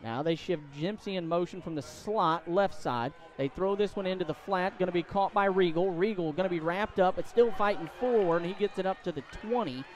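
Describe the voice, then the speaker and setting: A man doing live play-by-play commentary of a high school football game. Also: brisk, hardly any pauses. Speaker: play-by-play announcer.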